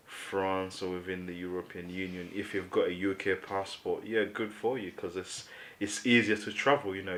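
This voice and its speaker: A man's voice: sits low, a bit bright. A man from Uganda co-hosting a casual, opinionated discussion, explaining his views conversationally with frequent "you know". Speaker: talk show co-host.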